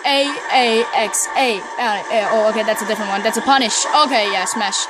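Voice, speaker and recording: A young woman giving casual, rambling commentary while playing a video game, with many pauses and fillers. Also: fairly high pitch, heavy background noise. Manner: chatty, rambling with many ums and laughs. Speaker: gamer playing through a game. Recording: talking over loud game music.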